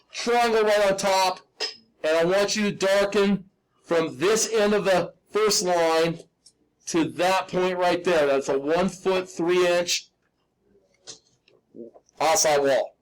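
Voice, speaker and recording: A man with a slightly raspy voice teaching drafting in a live step-by-step demonstration, informal and folksy, often checking that everyone follows. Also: high voice, fairly even intonation, slow pace, pauses often, rough recording. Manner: instructional, checks in often with "okay?"; informal, folksy, self-deprecating.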